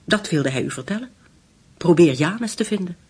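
A man's voice: high voice, animated, a little fast, slight background noise. An actor playing an earnest, courteous man who explains what he knows, intent and polite, in a radio drama. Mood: intent, urging. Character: earnest, courteous male informant helping an investigation. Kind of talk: Dutch radio play acting.

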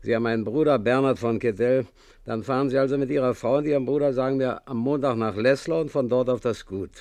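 An actor playing a businesslike wartime official in a 1950s radio play, curt and bureaucratic. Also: male voice, medium pitch, quick pace, a little mellow, no echo, close to an everyday voice. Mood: brisk, official. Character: brisk, businesslike male wartime resettlement official.

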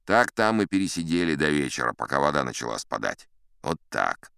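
An actor playing a chatty, boastful old sea captain, spinning tall tales in a theatrical audiobook manner. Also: male voice, very deep voice, big pitch swings, a little fast, vocal fry, fairly bright tone, clear, resonant tone, no room sound, studio quality, heavily acted. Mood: animated recounting. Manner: theatrical, leisurely, old-fashioned conversational raconteur. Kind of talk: dramatized audiobook storytelling.

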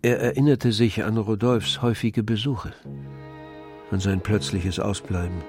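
A man's voice: on the low side, fairly even intonation, measured pace, a little mellow. A man reading scripted literary narration for a radio play. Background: faint string music.

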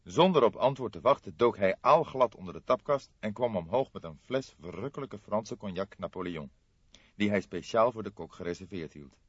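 A male narrator with a fairly low voice reads literary prose expressively. The recording is dry and close, with a somewhat dull, lo-fi sound. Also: lively intonation, moderate pace, a bit bright, breathy edge, no room sound. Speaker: audiobook narrator.

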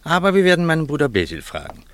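An actor in a German radio play playing an earnest, determined gentleman adventurer, insistent and indignant. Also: fairly high pitch, huge pitch swings, quick pace, a little hiss or hum. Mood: determined, suspicious.

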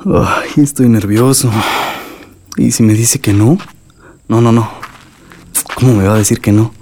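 An actor playing a nervous, romantic suitor in a radio soap, earnest and hesitant, with a Mexican accent. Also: male voice, mid-range voice, steady tone, unhurried, dark tone. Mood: nervous rehearsing. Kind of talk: radio soap opera acting.

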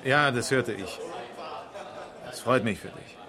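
A man's voice: mid-range voice, measured pace, crowd noise, lightly acted. A man playing a reserved, polite colleague in a radio drama, answering briefly and without committing himself. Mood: guarded. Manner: brief, noncommittal. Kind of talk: radio drama acting, casual dialogue.

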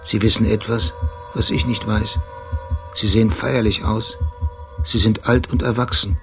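A haunted police detective narrating a radio drama. The voice is low and heavily creaky, brooding and precise. Phrases come measured and deliberate, as from someone gripped by memory. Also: male voice, steady tone, background noise. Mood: brooding, remembering.

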